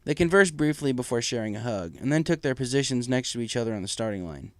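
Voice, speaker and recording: A man's voice: mid-range voice, expressive, fast, no room sound. A man reading a story aloud as narrator, in a scripted storytelling style. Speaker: story reader.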